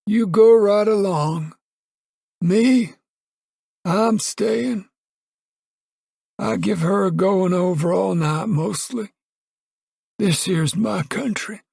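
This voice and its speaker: A stubborn old farmer with a drawl, as a man puts him on, cussing and defiant in a high, cracking voice. His words come out gravelly and husky, slow and dragged, close and dry on the mic. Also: darkish tone, no room sound, exaggerated voice. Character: stubborn cantankerous old male farmer, Grandpa.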